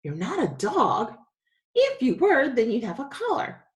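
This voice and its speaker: A woman's voice: high voice, huge pitch swings, measured pace, echoey room, pitched above the performer's own voice, exaggerated voice. Woman reading as a startled, curious storybook kid. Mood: startled, questioning.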